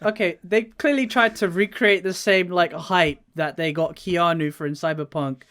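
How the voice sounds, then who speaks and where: A man co-hosting a podcast in casual chat, talking in a halting way as he thinks aloud. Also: sits high, steady tone, fairly bright tone. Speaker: podcast co-host. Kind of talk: casual chat about video games.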